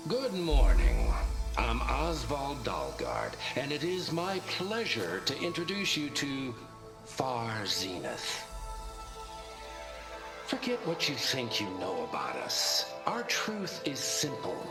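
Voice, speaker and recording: An actor playing a polished corporate spokesman in a video game, delivering a grandiose, deliberate promotional presentation. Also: medium pitch, wildly swooping pitch, full character voice. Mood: smooth, welcoming. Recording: echoey presentation over loud music.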